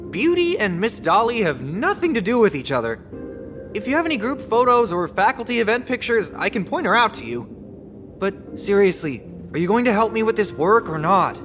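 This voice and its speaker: A man's voice: high voice, sing-song, fairly quick, music in the background. A man playing an impatient, sarcastic young student in an anime-style game, complaining in an exasperated manner. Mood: impatient, dry.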